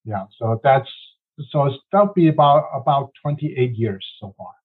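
A man's voice: mid-range voice, animated, unhurried, breathy edge. A man in his sixties on a panel, recalling his school work with many hesitations. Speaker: panelist, community school principal. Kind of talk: conversational panel answer. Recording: tinny phone-like call audio.

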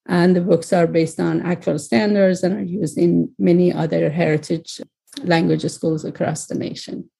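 A woman panelist giving a measured, slightly formal answer about her work in education. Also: on the low side, flat delivery, vocal fry, mellow, slightly rough. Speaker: panelist, language school vice principal.

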